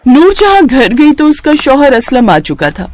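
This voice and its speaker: A woman's voice: sits high, big pitch swings, a little slow, darkish tone, a little hiss or hum. A woman narrating a scripted moral story for children.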